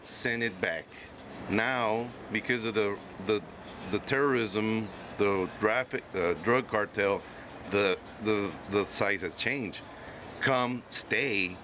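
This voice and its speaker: A man with a low voice speaks as a panel guest, stopping and restarting as he describes his family's history. The recording sounds dull and muffled. Vehicle noise hums behind him. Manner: halting, with repeated restarts. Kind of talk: personal testimony.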